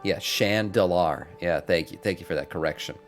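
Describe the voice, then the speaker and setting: A man's voice: sits low, normal speed. A middle-aged man hosting a gaming news stream, casually reading out updates with informal, mildly sweary asides to viewers. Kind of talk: casual livestream commentary with some reading aloud. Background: background music.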